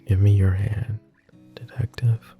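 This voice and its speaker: Man as a very deep, flirty mafia boss. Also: little pitch movement, takes it slowly, phone-quality sound, soft background music, exaggerated voice. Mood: hushed, earnest. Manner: soft teasing banter with breathy chuckles. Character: flirty, possessive male mafia boss, smitten and vulnerable. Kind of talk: intimate ASMR roleplay acting.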